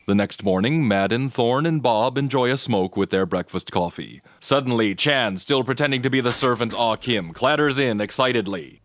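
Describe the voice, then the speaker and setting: A man's voice: medium pitch, normal speed, few pauses, no echo, studio quality. A man reading scripted narration for an audio drama.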